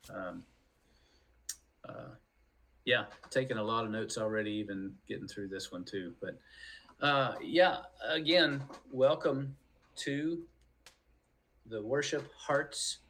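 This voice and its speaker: A middle-aged man co-hosting a faith podcast, talking casually and haltingly as he searches for words. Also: mid-range voice, gravelly, a bit bright. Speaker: podcast co-host.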